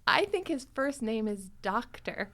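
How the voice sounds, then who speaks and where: A woman hosting a podcast, chatting casually and with animated enthusiasm about a show. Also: on the high side, unhurried. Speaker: podcast host.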